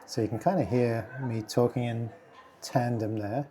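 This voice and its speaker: A man hosting a casual software tutorial, speaking quietly and thinking aloud with many fillers as he works. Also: medium pitch, steady tone, moderate pace, crowd noise. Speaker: video tutorial host. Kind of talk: casual screen-recording tutorial.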